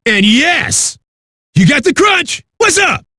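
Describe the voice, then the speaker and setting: A man playing a brash, foul-mouthed metal radio DJ in a video game, with a raspy voice and rowdy, swaggering delivery. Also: very high voice, big pitch swings, slow, sharp tone, no echo, studio quality, heavily acted. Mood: cocky, amped. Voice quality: raspy, gravelly. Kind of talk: high-energy rock radio DJ patter.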